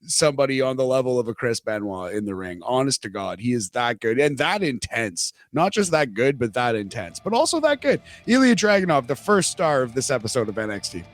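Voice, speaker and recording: A man's voice: medium pitch, sing-song, a little fast, hardly any pauses, dry recording, clean studio sound. A man co-hosting a wrestling podcast in casual chat. He laughs easily, stammers and repeats words. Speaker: podcast co-host.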